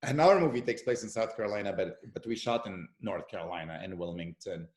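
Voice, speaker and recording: A man talking in a relaxed interview, telling stories with lots of fillers and restarts. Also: lowish voice, fast. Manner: animated storyteller with many fillers and restarts. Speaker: actor and podcast guest. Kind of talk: casual interview conversation. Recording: echoey room.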